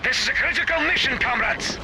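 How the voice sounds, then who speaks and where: A man's voice: very high-pitched, lively intonation, fast talker, background noise. A man playing a stern Soviet officer in a war game, giving formal, commanding orders. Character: stern Soviet officer briefing his troops.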